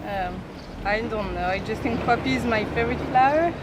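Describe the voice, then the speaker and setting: A woman from France answering a street interview shyly and softly. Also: on the high side, fairly quick. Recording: loud street noise outdoors.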